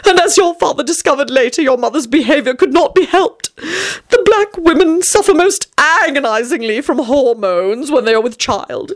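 A woman's voice: high-pitched, normal speed, pitched above the performer's own voice, natural voice. A woman voicing a haughty, cowardly, theatrical male werewolf in a story, with fussy, affected endearments and an easily offended manner. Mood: dour, flustered.

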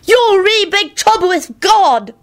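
A woman voicing a wrathful, pompous man who snarls and shouts in an audiobook story. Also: very high voice, sing-song, slow, voice pushed up, exaggerated voice. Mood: enraged. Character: wrathful, bellowing, pompous man demanding proper behaviour.

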